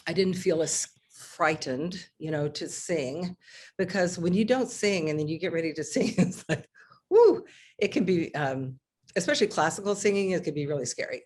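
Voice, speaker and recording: Low-voiced woman chatting on a video call. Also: slight background noise. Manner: chatty, restarts often, animated. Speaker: singer and guest. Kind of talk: casual video interview. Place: home living room.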